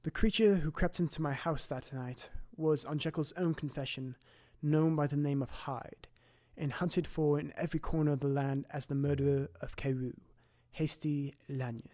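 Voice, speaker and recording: A man acting a grave, higher-voiced Victorian gentleman doctor. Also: steady tone, natural voice. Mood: shaken, despairing. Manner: formal, dramatic, measured storytelling. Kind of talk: audio drama narration.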